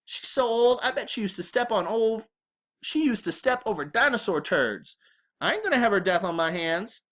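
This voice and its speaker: A woman's voice: mid-range voice, lively intonation, moderate pace, a bit bright, pitched above the performer's own voice. A woman voicing a cocky, cool teenage boy who talks tough and slangy, as in a storybook. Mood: scornful. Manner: slangy, swaggering.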